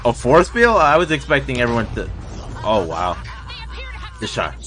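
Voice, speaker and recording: A man's voice: medium pitch, very animated, normal speed, fairly bright tone. A young man giving casual live reaction commentary in short exclamations and muttered remarks, recorded in a room at home. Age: young adult. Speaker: reaction video host. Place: small furnished room indoors. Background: show audio and music playing.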